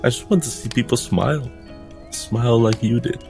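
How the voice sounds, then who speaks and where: A man's voice: mid-range voice, moderate pace, creaky, darkish tone, faint music behind, natural voice. A man playing an earnest, troubled priest in a tabletop game, stammering and unsure of himself. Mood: anguished, earnest.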